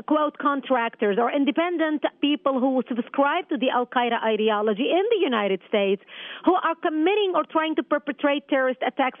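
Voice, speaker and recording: High-voiced woman on a tinny phone line. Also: no echo. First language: Arabic. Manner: insistent, forceful argument. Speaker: guest. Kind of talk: phone-in interview.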